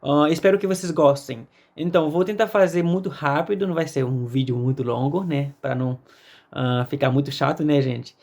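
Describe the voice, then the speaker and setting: A man with a Haitian accent, native in Haitian Creole, gives a casual language lesson. His voice sits a little high for a man and often drops into fry. He moves along haltingly, checking in with small tags as he explains. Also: darkish tone. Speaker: language teacher.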